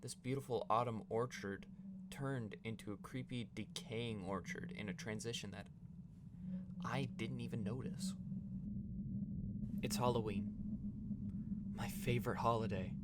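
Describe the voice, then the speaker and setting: A man playing a young podcaster narrating a dreamy, brooding story reflectively, with deliberate dramatic pauses. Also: fairly low pitch, very noisy, lightly acted. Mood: eerie, hushed, then confiding. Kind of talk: scripted first-person narration.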